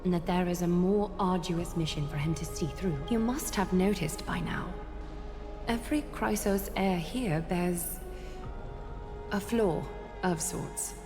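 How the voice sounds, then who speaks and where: A woman plays a wise and composed female leader from a video game. Her voice sits a little low and moves in grave, deliberate phrases, ringing in long reverb with music clearly underneath. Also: natural voice. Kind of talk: English video game voice acting.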